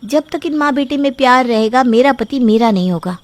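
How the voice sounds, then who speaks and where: A manipulative young wife from a cartoon family story, as a woman voices her, with a high, thin voice. She whines and puts on tears in a theatrical way. Every line sounds like a calculated act. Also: expressive, fairly quick. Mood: plotting. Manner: theatrical, whining, fake tearful.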